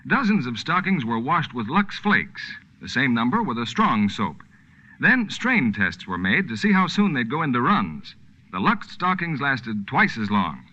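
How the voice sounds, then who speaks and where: Forceful, lively 1940s radio announcer man. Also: medium pitch, measured pace. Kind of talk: 1940s scripted radio advertising. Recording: dull 1940s radio recording.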